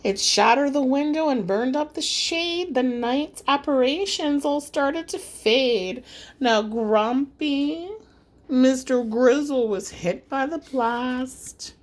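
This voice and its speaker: A woman's voice: high voice, slow pace. A woman reading a rhyming children's story aloud as an audiobook, in a dramatic, singsong storytelling manner. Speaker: audiobook reader.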